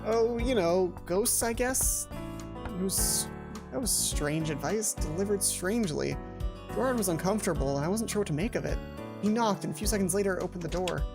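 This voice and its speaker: A man's voice: high-pitched, expressive, a little fast, vocal fry. A young man hosting a let's play, reading a visual novel aloud and adding casual commentary. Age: young adult. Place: small indoor home room. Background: game music.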